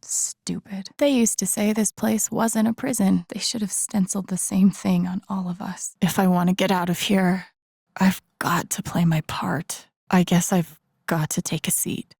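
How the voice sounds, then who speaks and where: A young woman in a video game, quietly turning over a hard past. Her voice is soft and husky, often breathy, with a gravelly fry. She speaks in a subdued, confiding inner monologue, recorded very dry. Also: medium pitch, steady tone, measured pace, dry recording. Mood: troubled, resolute. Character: pensive, wounded young woman recalling a hard childhood.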